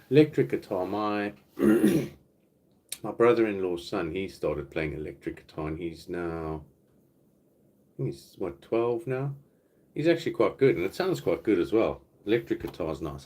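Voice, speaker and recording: A South African man with a very low voice hosts a relaxed livestream chat from home. He rambles easily between topics and reads out comments from the viewers. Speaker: livestream host. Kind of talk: casual live Q&A chat. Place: home, indoors.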